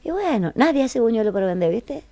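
A woman's voice: a little high, big pitch swings, fast talker, mellow, no room sound. A woman with an Argentine accent hosting a casual radio chat, chatty and colloquial, repeating phrases for emphasis. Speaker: radio host.

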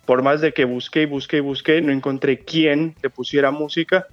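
A man's voice: fairly high pitch, fairly even intonation, normal speed, no room sound, lo-fi sound, faint music behind. A man in his thirties from Colombia, a guest calling in to a radio show, chatting casually with many fillers and restarts. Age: 30s. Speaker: phone-in guest.

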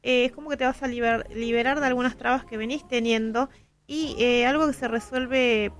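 High-voiced Argentine woman reading tarot. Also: fairly even intonation, moderate pace. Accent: Argentine, Rioplatense. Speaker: radio tarot reader. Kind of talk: casual spoken reading.

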